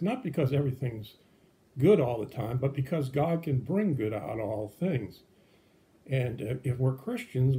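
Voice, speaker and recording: A man's voice: medium pitch, warm tone. A man speaking as a pastor and puppet show host, explaining things simply and patiently to children.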